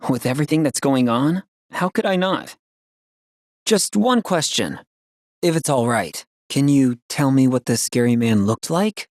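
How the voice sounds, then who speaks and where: A man's voice: mid-range voice, animated, a little slow, a little mellow, no room sound, clean studio sound, natural voice. A man voicing an upbeat, loyal young ex-soldier in a video game, with a casual, boyish, earnest delivery. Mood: gentle, puzzled.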